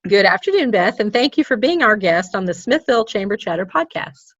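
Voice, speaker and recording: A woman's voice: medium pitch, expressive, fairly quick, no room sound, dull audio. A woman hosting a local business podcast over a video call, reading a scripted intro and then chatting with her guest in an upbeat way. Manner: upbeat, warm praise of guest. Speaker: podcast host.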